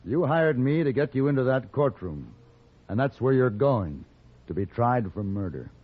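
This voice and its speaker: A man's voice: mid-range voice, very animated, moderate pace, lightly acted. An American actor playing an assured, wry, principled hired gunman in an old western radio drama. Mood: cool, firm. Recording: muffled old radio recording, crowd noise.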